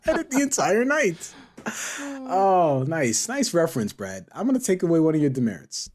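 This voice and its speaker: A man hosting a casual, joking podcast chat, who talks haltingly, repeats his words and chuckles as he goes. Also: on the high side, wildly swooping pitch, darkish tone. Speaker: podcast host. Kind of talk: casual comedic panel chat.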